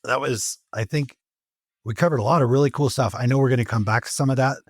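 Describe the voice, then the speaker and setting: A man's voice: medium pitch, fast talker, slightly rough, no echo, studio quality. A man hosting an interview in a casual, conversational style. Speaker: interview host.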